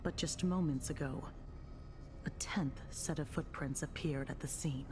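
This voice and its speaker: An actress playing a world-weary, confident security officer with a dry, knowing, deliberate delivery. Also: female voice, sits low, whispered. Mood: firm warning. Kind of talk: dramatic game voice acting. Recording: music behind the voice.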